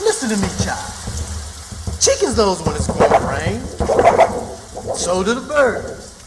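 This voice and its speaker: Folksy Southern runaway, high-pitched, slow and superstitious, in a reverberant radio play. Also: male voice, big pitch swings, heavy background noise. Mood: knowing, grumbling. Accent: American South. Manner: folksy dialect, drawling. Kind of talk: radio theatre acting. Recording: long reverberant hall sound.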